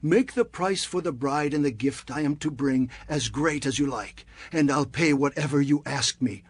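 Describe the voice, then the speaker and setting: High-voiced, emphatic biblical tribesman. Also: normal speed, slightly rough, no echo. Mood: earnest, insistent. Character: forceful biblical tribesmen: brothers, fathers, suitors. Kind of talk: dramatized audio Bible acting.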